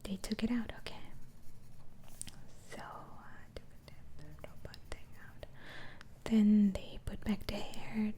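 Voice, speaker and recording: A woman whispering softly in a slow, hesitant, soothing ASMR roleplay as a gentle, reassuring doctor. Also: medium pitch. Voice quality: soft, breathy whisper.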